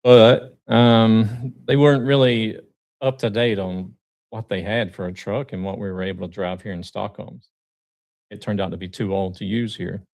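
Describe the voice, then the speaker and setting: A man from the United States, a guest truck driver on a live stream, talking casually and hesitantly with many pauses. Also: on the low side, creaky. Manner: relaxed, hesitant with many ums.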